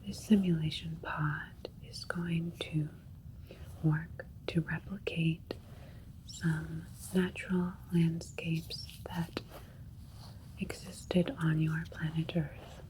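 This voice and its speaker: A woman playing a calm, clinical alien doctor in an ASMR sci-fi roleplay, speaking in a gentle, reassuring breathy whisper. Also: low voice, rough recording. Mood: calm, explanatory. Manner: slow, gentle, reassuring, formal and procedural. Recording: faint steady spaceship ambience noise. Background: soft alien spaceship ambience.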